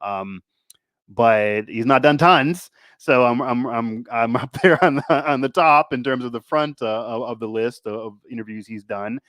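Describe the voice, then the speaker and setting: A man's voice: mid-range voice, normal speed, a bit bright, no room sound. A man hosting a sports show, talking casually in a rambling way that circles back on itself. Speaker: sports podcast host. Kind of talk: casual sports talk and interview.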